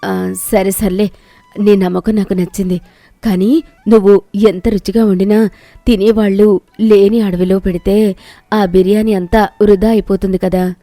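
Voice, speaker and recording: Woman as older rural mother-in-law, fretful doubter, soft-toned, dry studio sound, for a cartoon fable. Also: mid-range voice, little pitch movement, moderate pace, long pauses, dry recording, close to an everyday voice. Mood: doubtful. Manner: fretful, questioning, complaining.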